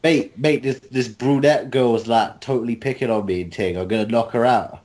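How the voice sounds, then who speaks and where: A man's voice: medium pitch, fairly quick, a little mellow. A man bantering casually in a group interview, playful, teasing and jokey. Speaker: audio drama cast member.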